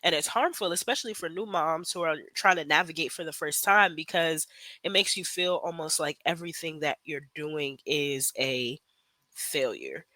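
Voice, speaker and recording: A woman's voice: deep voice, normal speed, sharp tone, no echo. A woman in her twenties, a podcast guest, speaking reflectively in a casual interview, hedging often with fillers as she thinks aloud. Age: 20s.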